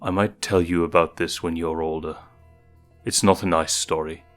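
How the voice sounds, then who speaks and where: A man voicing a calm, proud lion king and father in a measured, regal manner for a story. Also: sits low, natural voice. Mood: calm, grave. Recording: music behind the voice.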